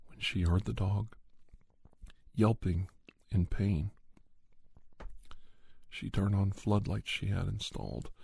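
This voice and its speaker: A man telling a spooky first-person story in a soft, breathy ASMR style, often near a whisper, in deliberate short phrases with pauses. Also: low-pitched. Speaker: podcast storyteller.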